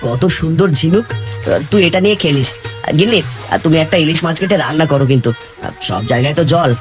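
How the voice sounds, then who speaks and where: Man as a village fisherman, kindly, earnest, rapid speech, highish voice, cartoon, music behind. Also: expressive, lightly acted. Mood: pleased. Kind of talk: cartoon fairy-tale voice acting.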